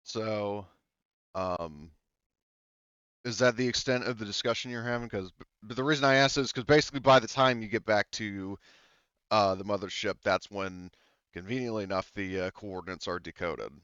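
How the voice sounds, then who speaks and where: A man acts as game master for a tabletop game, his voice low and smooth. He explains in a loose, rambling way, padded with fillers. The sound is dry and muffled, like lo-fi voice chat. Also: moderate pace, a bit bright, no echo.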